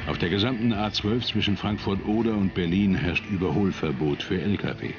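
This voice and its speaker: Deep-voiced male documentary narrator. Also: dull audio. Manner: calm, measured voice-over. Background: music.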